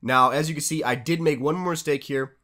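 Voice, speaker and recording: A man's voice: fairly high pitch, fairly quick, pushed and bright. A congested young man casually commentating his own strategy game replays, chatty and upbeat, giving tips as a channel host.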